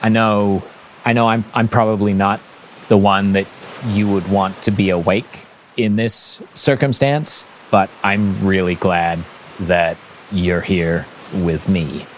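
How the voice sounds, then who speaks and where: A man's voice: deep voice, steady tone, slow, a little hiss or hum, poor audio. A man voicing a nervous young male bard who rambles and stammers eagerly in a tabletop game. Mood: nervous, sincere.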